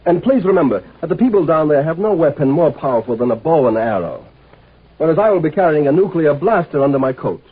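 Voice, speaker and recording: An actor playing a curt, commanding galactic marshal in a 1950s radio drama, impatient and authoritative. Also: male voice, on the high side, big pitch swings, fast, husky. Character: brusque, commanding male galactic military marshal. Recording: noisy, tinny 1950s radio recording.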